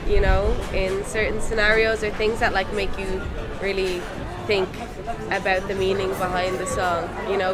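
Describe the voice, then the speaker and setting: A young Irish woman, a musician, talking casually in an interview at an outdoor café terrace, loose and chatty. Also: mid-range voice. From Ireland. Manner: loose and chatty, full of "like" and "you know".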